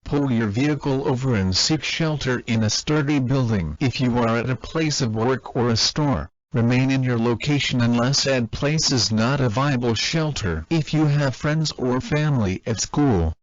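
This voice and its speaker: A man delivers an emergency alert in a formal, mechanical voice at a medium pitch. The delivery is flat and scripted, and the sound is dull and lo-fi, like an old broadcast. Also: normal speed, few pauses, no echo.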